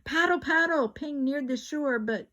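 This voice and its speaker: An older woman reading a children's picture book aloud like a grandmother, with expressive, drawn-out words and emphatic repetition. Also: high-pitched.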